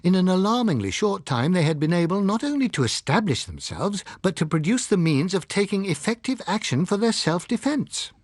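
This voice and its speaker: A man's voice: sits high, big pitch swings, fairly quick, hardly any pauses, dry recording, studio quality, voice pushed up. A British man voicing an earnest, bitter dissenting scientist in an audiobook, speaking in a formal, grave and insistent way. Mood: urgent warning. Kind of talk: audiobook character reading.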